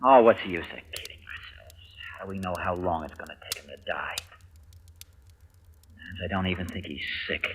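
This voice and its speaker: An actor playing a bitter young husband trapped caring for a rich uncle, tense and brooding, flaring into anger, in an old radio drama. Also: male voice, low voice, brisk, long pauses, close to an everyday voice. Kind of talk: 1940s radio acting. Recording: old radio broadcast with faint hiss.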